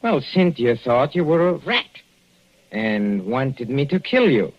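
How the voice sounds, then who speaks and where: A gloating, sadistic professor in a vintage radio thriller, with a Central European accent and a mocking, purring menace. His voice swings up and down in pitch as he drawls slowly. The recording is dull and lo-fi with a faint hiss. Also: big pitch swings. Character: gleeful sadistic middle-aged male professor murderer. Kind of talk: 1940s radio acting.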